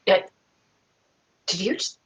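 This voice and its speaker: A woman role-playing casually in an online tabletop game, talking in a hesitant, halting way. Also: fairly bright tone. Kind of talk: casual in-character role-play over online chat. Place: indoor room on a group video call.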